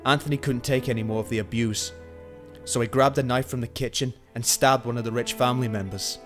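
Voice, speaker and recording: A man's voice: mid-range voice, steady tone, brisk, faint music behind, natural voice. A man playing a driven, hot-tempered police detective in an earnest, dramatic amateur radio play. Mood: grim, narrating.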